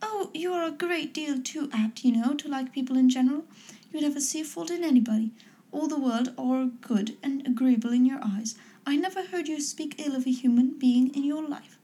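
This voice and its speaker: A woman voicing a lively, playful young woman who speaks in a teasing, witty way. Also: sits high, normal speed, close to an everyday voice.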